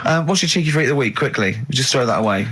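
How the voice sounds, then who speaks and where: A man's voice: medium pitch, animated, fast talker, fairly bright tone, slightly rough. A southern English man hosting radio with casual, comic banter. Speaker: radio host.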